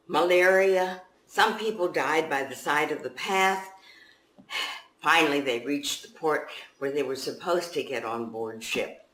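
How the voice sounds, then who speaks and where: An older woman, an author telling and reading a story aloud with deliberate, dramatic emphasis on key words. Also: low voice, a bit bright. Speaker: author reading from and presenting own book. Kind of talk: storytelling presentation and read-aloud. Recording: echoey room.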